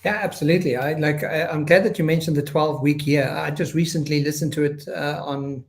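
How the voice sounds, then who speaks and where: Higher-voiced male interview host. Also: fairly even intonation, a little fast, vocal fry. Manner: rambling, thinks aloud with fillers. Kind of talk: casual interview.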